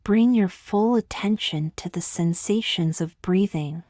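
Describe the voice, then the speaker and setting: Slow, low-voiced woman guiding meditation. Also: no echo, studio quality. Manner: soothing, gentle, measured with long pauses. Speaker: meditation guide. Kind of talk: guided meditation, scripted.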